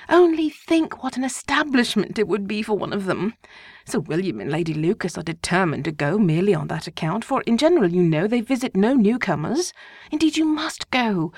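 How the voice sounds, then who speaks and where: A woman playing a shrill, fussy gossiping mother. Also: slightly rough, no room sound, studio quality. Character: excitable gossiping middle-aged mother of five.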